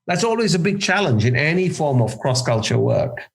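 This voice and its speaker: A man's voice: a little high, very animated, normal speed, vocal fry. A man answering interview questions as a cross-cultural consultant, thinking aloud, restarting sentences and checking in with "right?". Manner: thoughtful, restarts sentences, often adds "right?".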